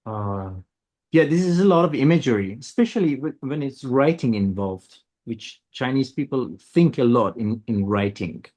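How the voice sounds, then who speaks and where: Iranian man, halting and searching. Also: medium pitch, expressive, darkish tone. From Iran. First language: Persian. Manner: searching for words, halting restarts. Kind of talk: reflective conversation.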